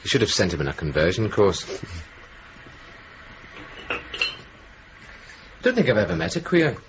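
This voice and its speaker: An actor playing a young, plain-spoken, fretful RAF officer with a Scottish accent in a radio play, talking in an earnest, terse way. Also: male voice, lowish voice, big pitch swings, fast talker. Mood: curious, deadpan. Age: young adult. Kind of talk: naturalistic 1980s radio drama acting. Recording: muffled, hissy old radio broadcast tape.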